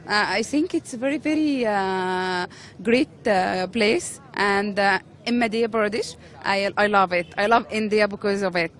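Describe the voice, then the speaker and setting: A woman's voice: mid-range voice, unhurried. A woman from Egypt, a journalist, speaking hesitantly with many fillers.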